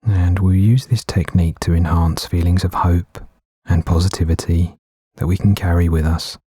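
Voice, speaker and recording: A man gently guiding a meditation in a soothing, unhurried way with long pauses between phrases. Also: deep voice, steady tone, husky, no room sound, clean studio sound. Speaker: meditation guide. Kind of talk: guided meditation narration. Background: background music.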